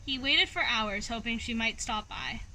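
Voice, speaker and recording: A woman narrates a picture book for young children in an expressive storytelling style. Her voice is high and bright. Clear noise sits behind the reading. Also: normal speed, low-quality recording. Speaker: read-aloud storyteller.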